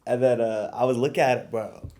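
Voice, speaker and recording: A young man telling a story in a casual chat, animated and laughing. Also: medium pitch, brisk, heavy background noise. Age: young adult.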